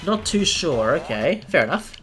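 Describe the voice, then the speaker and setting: A man's voice: on the high side, sing-song, moderate pace, a bit bright, soft background music. Chatty Australian man on a live stream. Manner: chatty, searches for words, self-deprecating. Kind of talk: casual live-stream chat.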